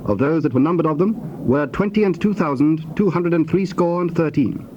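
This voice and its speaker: A man narrates scripture formally and solemnly, in measured phrases. His voice sits a little high, on a dull cassette recording with faint noise behind it. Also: darkish tone. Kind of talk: scripted dramatized Bible narration. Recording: dull, noisy old cassette tape.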